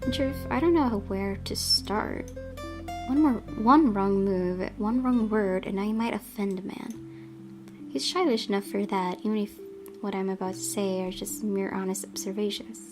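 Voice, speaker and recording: Woman narrating over clear game music. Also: medium pitch, unhurried. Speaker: gamer reading a visual novel aloud. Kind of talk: expressive story narration.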